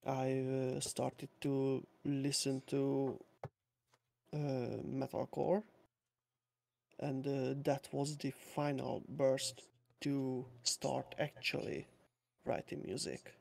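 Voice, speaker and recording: A young man from Hungary, a Hungarian speaker, talking slowly with many fillers as a guest on a casual online interview. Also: mid-range voice, flat delivery, creaky, darkish tone. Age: young adult. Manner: slow and hesitant, many uh fillers, nervous laughs. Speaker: guest musician. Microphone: home mic with external sound card.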